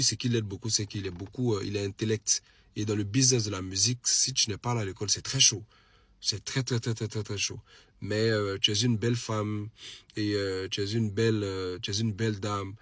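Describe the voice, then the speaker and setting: Husky low-voiced Ivorian man. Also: no room sound. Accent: Ivorian French. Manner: animated, repeats phrases for emphasis. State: has a cold. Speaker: social media live streamer. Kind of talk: casual late-night live chat to followers.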